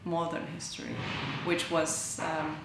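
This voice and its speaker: A woman artist from Brazil talks through her work, pausing to find the right words and correcting herself as she goes. Her voice is low with a gravelly edge. It echoes through a large hall. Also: level tone, background noise. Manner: thoughtful, searching for words, self-correcting. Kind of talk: interview about her artwork. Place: large gallery hall indoors.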